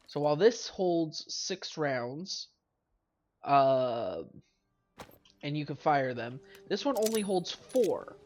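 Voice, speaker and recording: A man's voice: fairly high pitch, sing-song, slow, a little mellow, slight background noise. A man with a stuffy nose from a cold, casually commenting on his gameplay and rambling through weapon stats, correcting himself as he goes, with faint game music behind him. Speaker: let's play host.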